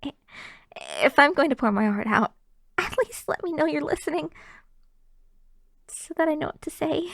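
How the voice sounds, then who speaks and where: Woman acting a shy girlfriend, high soft voice. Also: expressive, moderate pace, long pauses, no room sound, studio quality. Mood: affectionate, soft. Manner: soft, hesitant, tender, often slipping into whispers. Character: shy, affectionate young girlfriend, easily flustered. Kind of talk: intimate ASMR girlfriend roleplay. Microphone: close microphone.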